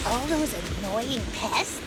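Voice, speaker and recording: A woman voicing a bubbly, childlike girl soldier in a video game, with exaggerated, cutesy, drawn-out delivery. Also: on the high side, low-quality recording. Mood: playful, excited. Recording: loud music behind the voice.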